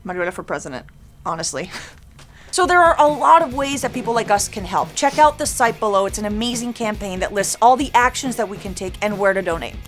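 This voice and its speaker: A woman's voice: medium pitch, lively intonation, brisk, faint music behind. A young woman with a Venezuelan accent hosting a comedic rant, joking and sarcastic with lots of "like". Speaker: comedy web show host.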